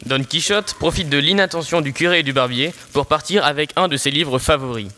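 A man's voice: a little high, brisk, sharp tone, a little hiss or hum. A teenage boy reading scripted narration for an audio story.

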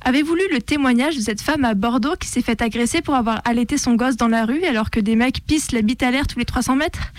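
A woman's voice: high voice, dry recording. A woman with a French accent presenting a scripted opinion piece on radio in a militant, rhetorical, slangy way. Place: radio studio.

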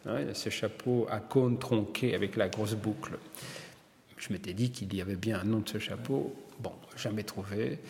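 An older Belgian-born man, a hairdresser and publisher, telling his story in an interview, halting and repeating words as he searches. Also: on the low side, creaky, darkish tone, big-room sound, a little hiss or hum.

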